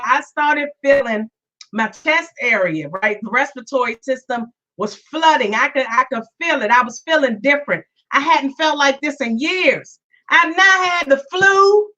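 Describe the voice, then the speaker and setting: Chatty woman with a bright, high voice. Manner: chatty, repeats herself, greets viewers by name. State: voice still weak from illness. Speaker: podcast host. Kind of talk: casual live-stream chat. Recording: dull lo-fi sound, a bit far.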